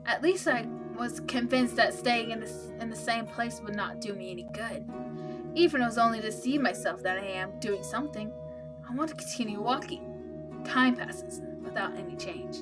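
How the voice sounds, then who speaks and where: A woman reading out the part of an anxious, lost young protagonist in a horror visual novel, measured and subdued. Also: a little high, natural voice. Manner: measured, subdued, stumbles over some words.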